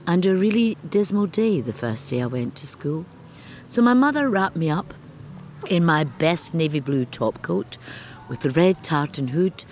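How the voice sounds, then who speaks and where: Low-voiced Glasgow woman poet. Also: darkish tone, a little hiss or hum. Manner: thoughtful, reads a poem aloud slowly. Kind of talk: interview with poetry reading.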